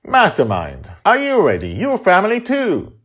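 A man voicing a father who leads children through a solemn magical ritual in a storybook, speaking in a grand, drawn-out, ceremonial way. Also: high voice, wildly swooping pitch, a bit bright, voice pushed up, exaggerated voice. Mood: rousing.

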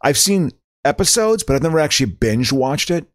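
A man's voice: a little high, big pitch swings, fairly quick, no room sound, clean studio sound. Rambling, laughing podcast man. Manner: rambling, laughing, stammering restarts. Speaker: podcast co-host. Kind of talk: casual chat.

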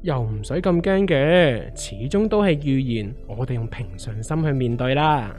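An actor with a Hong Kong accent playing a calm, reassuring investor friend, expressive and explanatory, in a scripted radio drama. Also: male voice, mid-range voice, moderate pace, faint music behind, close to an everyday voice.

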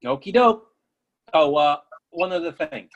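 A man's voice: fairly high pitch, animated, unhurried, a bit bright, highs cut off. A man acting an eager, oblivious friend with an insistent, earnest manner in a readers theatre comedy. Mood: hopeful. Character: eager, oblivious male friend pushing food, stubbornly cheerful.

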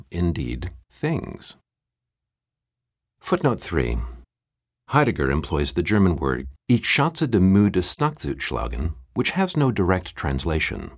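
A man acts out a pedantic academic translator in a comic audiobook. The voice is very low and studio-dry, with deliberate, pompous delivery and sweeping intonation that treats each dense phrase as momentous. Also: creaky, dry recording, heavily acted. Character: pompous academic translator reading an absurdly dense philosophy text. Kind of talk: comic mock-scholarly audiobook reading.

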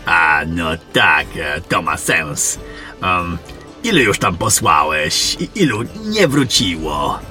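A man playing a jovial, gruff veteran general in a fantasy audio drama, muttering, hesitating and repeating himself. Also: low-pitched, very animated, takes it slowly, pushed and bright, soft background music, full character voice. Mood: wary, impatient.

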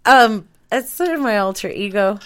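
A young woman from Denver hosting a podcast, relaxed and easygoing in casual chat. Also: medium pitch, moderate pace. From Denver, USA. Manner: relaxed, easygoing, with many fillers. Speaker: podcast host.

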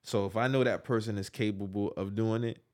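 A man's voice: fairly low pitch, steady tone, fairly quick, a little mellow. A man, talking in casual podcast chat. He speaks emphatically and keeps checking in with his listener. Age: 30s. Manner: emphatic, repeats "you get what I'm saying". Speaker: podcast co-host.

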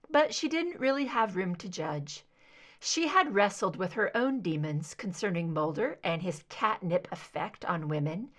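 A woman's voice: medium pitch, a bit bright. A woman reading scripted fiction aloud as an audiobook narrator. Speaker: amateur audiobook reader.

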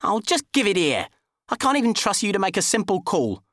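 A man's voice: sits high, wildly swooping pitch, a bit bright, no echo, clean studio sound, pitched above the performer's own voice, exaggerated voice. A man voicing a sulky, dim, cocky male best mate who whines and protests in comic audiobook dialogue. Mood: indignant. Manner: whining, protesting, quick to take offence.

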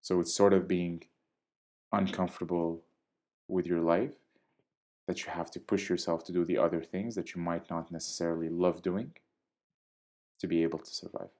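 A young man with a low, deep voice talks in a calm, measured way. He is articulate and diplomatic, and he explains his views at length in a podcast interview. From Syria. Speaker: DJ and guest.

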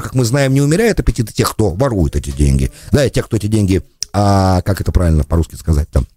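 A man's voice: medium pitch, darkish tone, no room sound. A man hosting a political talk radio show, speaking in a rambling, argumentative monologue that is full of self-corrections.

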